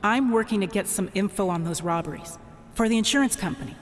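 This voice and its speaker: A woman acting as a sharp, cool-headed insurance investigator in a contemporary radio drama, casual and dryly confident. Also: mid-range voice, fast talker, lightly acted. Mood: confiding.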